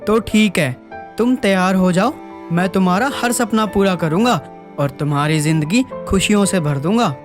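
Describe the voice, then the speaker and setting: A man acts out a devoted young husband in an animated story, high-voiced for a male. He speaks earnestly, with light music underneath. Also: moderate pace, natural voice. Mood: romantic, tender. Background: background music.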